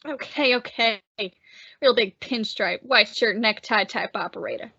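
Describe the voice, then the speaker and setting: A sneering, belligerent bar henchman played by a woman, high and sharp-edged in voice. He needles with sarcasm in old radio crime style, recorded in slightly muffled sound. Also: a little slow, gravelly, slightly rough, dry recording, full character voice. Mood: mocking. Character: cocky, belligerent male barroom tough guy, sneering henchman. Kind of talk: old-time radio detective drama acting.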